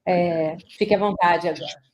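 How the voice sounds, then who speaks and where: A woman moderating an online talk over a video call in a relaxed way, with frequent fillers. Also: on the low side, normal speed. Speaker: webinar moderator.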